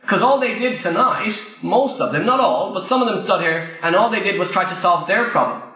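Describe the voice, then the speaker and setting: Irish male trainer, high and bright, punchy repeated points to a live crowd, roomy echo. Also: lively intonation, a little fast. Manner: emphatic, repeats key phrases, addresses the crowd directly. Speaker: property investment trainer. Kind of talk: live Q&A coaching talk to an audience. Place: event room before a live audience. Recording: very echoey room.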